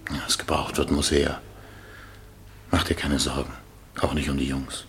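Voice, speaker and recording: An actor playing a plain-spoken, consoling male workmate in a German radio crime drama, with a gravelly voice. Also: rumbling low, steady tone, moderate pace, a bit bright, exaggerated voice. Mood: reassuring. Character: steady, consoling male workmate of the dead husband.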